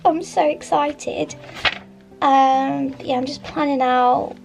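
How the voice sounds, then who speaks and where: A woman chats to her camera in a studio, voice high and gravelly with a croak from a chest infection. She talks casually with lots of ums and quiet asides, light music faint behind her. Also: steady tone, slow pace. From England. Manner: chatty, with many ums, soft asides. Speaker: vlogger, artist. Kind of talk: casual studio vlog chat. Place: art studio indoors.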